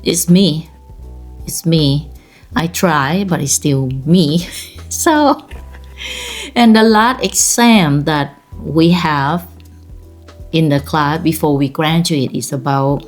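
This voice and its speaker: A woman's voice: deep voice, animated, slow pace, pauses often, soft background music. A woman in her fifties from Thailand with a Thai accent, telling personal stories in a rambling, laughing way. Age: 50s. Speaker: vlogger. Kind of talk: casual personal storytelling.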